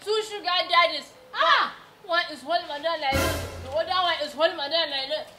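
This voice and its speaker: An actress with a Nigerian accent playing a blabbing, theatrical housemaid in a comedy skit. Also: female voice, extremely high pitch, brisk, over music. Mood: excited, dramatic. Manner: theatrical, repetitive, exclaiming. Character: blabbing, dramatic female housemaid telling on her madam. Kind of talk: broad comedy skit acting.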